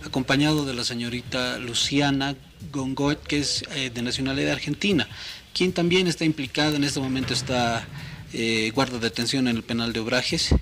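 A man, a police major speaking in a TV studio interview, official in tone, often pausing and adding "no?". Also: mid-range voice, steady tone, a bit bright, slight background noise. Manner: measured, official, many hesitations and tag "¿no?". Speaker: police major, anti-corruption unit chief.

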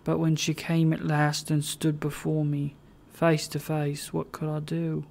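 A reader voicing a drowsy, dissipated man who speaks in a slow, brooding way. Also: medium pitch, completely flat delivery, vocal fry. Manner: slow, brooding, louder than narration.

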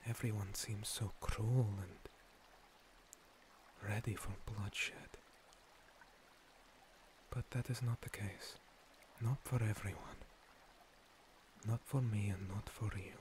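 Guy doing an old gentle monster priest, breathy asmr whispering, really slow n soothing, water running loud behind him. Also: fairly low pitch, fairly even intonation, noisy background. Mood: gentle, reassuring. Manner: slow, soothing, halting pauses. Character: ancient gentle monster priest, scarred former paladin. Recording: water streaming and pouring behind.

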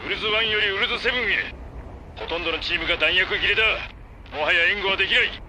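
Military commander, anime audio drama, male actor: clipped, formal, high-pitched, rapid, noisy backdrop. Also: natural voice. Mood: grave, urgent. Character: grave male military commander reporting by radio.

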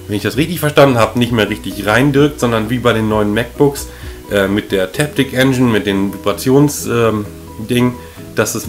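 A young man speaks loosely and casually from a living-room sofa, filling gaps with ums and dry asides. His voice sits in an ordinary middle range. Faint guitar music plays quietly behind him. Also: medium pitch. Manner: relaxed, hesitant, many fillers, mildly sarcastic. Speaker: tech vlogger. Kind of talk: casual talk to camera.

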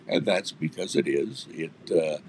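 An older male pastor with a raspy voice teaching from scripture and praying in earnest, often restarting his words, recorded on a webcam in his home office. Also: extremely low pitch, measured pace, darkish tone, slight background noise. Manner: earnest, with many restarts and fillers, gesturing while talking. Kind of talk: online Bible teaching and prayer. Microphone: webcam at desk.

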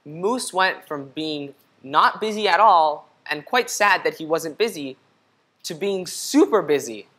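Young man reading to children, higher-pitched voice. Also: lively intonation, unhurried, sharp tone, breathy edge. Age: young adult. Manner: instructive, addressing young children. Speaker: storybook reader. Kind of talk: read-aloud children's storytelling with questions to the listener. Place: indoor studio room. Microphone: lapel mic.

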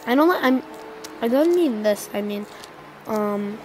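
Young teen girl, high voice, mild cold, rambling gameplay chatter over game music. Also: expressive, a little slow. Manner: chatty, rambling, addresses viewers directly. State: slightly sick with a cold. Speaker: gaming channel co-host. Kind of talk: casual gameplay commentary.

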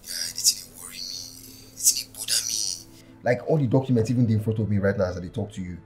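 Nigerian man, played by an actor, deep voice, composed loyal friend, screen drama, faint music behind. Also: quick pace, in a whisper, close to an everyday voice, low-quality recording. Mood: worried, urgent.

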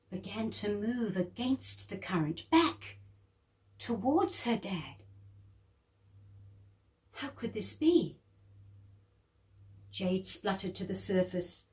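A woman reading a children's story aloud, expressive and lively, lifting her voice high for the children's lines.

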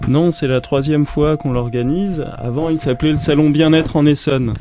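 A man's voice: fairly high pitch, normal speed. A man in his thirties answering questions as a radio interview guest, often hesitating as he searches for words. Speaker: guest, wellness fair organiser. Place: small radio studio. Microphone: close studio microphone, headphones.

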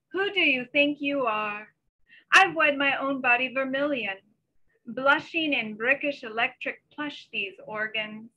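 A middle-aged woman presents her poetry in a high, bright and forceful voice. Her pace is on the slow side, and the video-call recording is a little lo-fi. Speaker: poet reading own work. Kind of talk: poetry reading with informal introductions. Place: small bedroom at home. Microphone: computer mic on a video call. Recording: slightly dull video call audio.

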